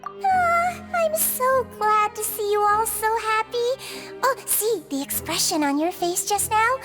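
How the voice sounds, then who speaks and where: Little nonhuman game nurse, extremely high childlike voice, politely caring and big-sisterly, soft music behind. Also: female voice, expressive, unhurried. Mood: gently pleased. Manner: kindly, attentive, politely explaining. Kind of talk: video game voice acting.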